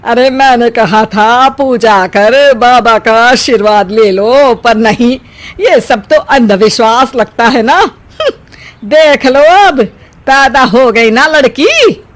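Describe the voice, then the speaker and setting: A spiteful old grandmother played by a voice actor from a family melodrama. She drags out her taunts and scolding slowly, at a middling pitch, obsessed with getting her way. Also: a bit bright. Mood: bitter taunting. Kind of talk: melodramatic Hindi family story dub.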